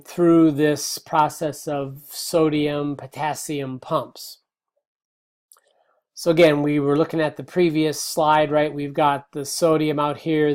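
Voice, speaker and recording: A middle-aged male biology teacher giving a recorded slide lecture, slightly hoarse, relaxed and explanatory with many fillers and restarts. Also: a little high. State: slightly hoarse, clearing throat.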